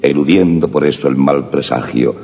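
A man reciting love sonnets in a slow, solemn, measured delivery. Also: very deep voice, mellow. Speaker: poetry reciter. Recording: long reverb with harp music behind.

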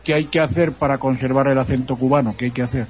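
A man's voice: mid-range voice, fairly even intonation, fast, a little hiss or hum. A man from Spain asking curious questions in a casual group conversation.

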